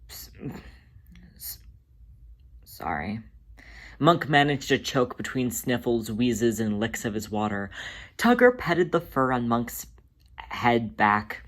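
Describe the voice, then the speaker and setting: Expressive story reader with animated pitch. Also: measured pace. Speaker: fan fiction reader. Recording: faint background noise.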